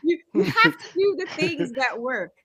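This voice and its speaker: A woman's voice: sits high, very animated, normal speed, pushed and bright. A middle-aged woman hosting a casual chat show, playful and teasing, laughing as she talks. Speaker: host.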